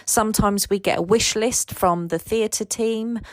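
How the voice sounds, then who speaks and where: A woman's voice: mid-range voice, a little slow, no room sound. A woman giving an organized, informative answer as a casting professional. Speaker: head of celebrity casting. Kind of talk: explanatory answer.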